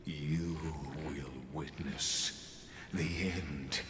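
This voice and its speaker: An actor playing a cold, arrogant godlike villain in a video game, speaking with menacing, grandiloquent deliberation. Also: male voice, very deep voice, steady tone, extremely slow, heavily acted. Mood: smug, taunting. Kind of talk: video game voice acting, theatrical villain monologue. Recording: echoing game voice under music.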